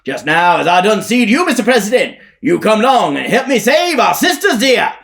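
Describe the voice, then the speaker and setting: A harsh, brash male preacher, bellowing high and hard like a fairground barker. His voice leaps wildly up and down as he hawks salvation in a storybook reading. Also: very animated, measured pace, exaggerated voice. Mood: pleading. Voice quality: harsh, hollering. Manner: shouted sales-pitch preaching.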